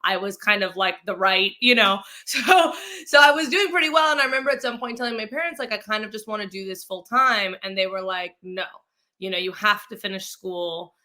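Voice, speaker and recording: A woman's voice: medium pitch, a little fast, pushed and bright. A woman from Mexico being interviewed as an actress and producer, telling her story in a casual, chatty, informal way. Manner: chatty, self-quoting, informal.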